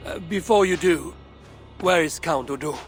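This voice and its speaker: An actor playing a blunt, determined Norse warrior with terse, resolute delivery in a dramatic video game. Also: male voice, on the high side, moderate pace, faint music behind, lightly acted. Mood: impatient. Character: determined, blunt Norse raider warrior seeking to end bloodshed.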